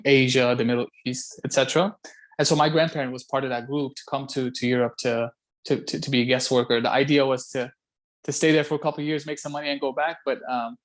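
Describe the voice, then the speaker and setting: A man in his thirties from Belgium, a guest talking casually over a video call, thoughtful and often repeating words as he searches for them. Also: medium pitch. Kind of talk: casual conversation. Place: home room by a window.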